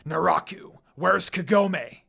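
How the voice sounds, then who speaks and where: A man's voice: sits high, slow, creaky, pushed and bright, slightly rough, exaggerated voice. A man voicing a proud, fiercely protective male demon lord who snarls in anger. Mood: furious.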